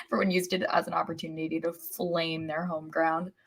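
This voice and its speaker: A woman's voice: low-pitched, level tone, brisk, slightly rough. A woman co-hosting a soccer podcast, chatting casually in a wry way. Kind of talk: casual sports chat.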